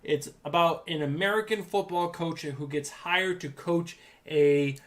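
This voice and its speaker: A man's voice: a little high, unhurried, a bit bright. A man with a New England accent, co-hosting a casual podcast chat, enthusiastic and rambling with many restarts and fillers. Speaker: podcast co-host.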